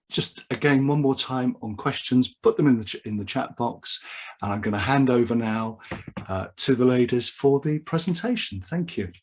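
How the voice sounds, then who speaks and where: A man hosting an online webinar, his voice a little husky. He speaks in a measured, formal presenter's manner and repeats his key points so they stick. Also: mid-range voice. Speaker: webinar host. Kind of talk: formal welcome and introduction. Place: home or office video call. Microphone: video call mic.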